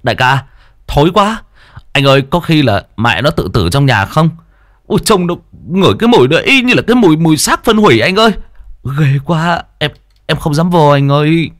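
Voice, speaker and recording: A man's voice: fairly high pitch, very animated, normal speed, dry recording, clean studio sound, pitched above the performer's own voice. A man voicing a terrified young male henchman who stammers in panic. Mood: panicked.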